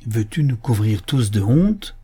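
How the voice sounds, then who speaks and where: An indignant wife and mother from a storybook, voiced by a male narrator. She scolds and insists with urgency, in a raised, husky pitch. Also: lively intonation, a little fast, dark tone. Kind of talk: audiobook character acting.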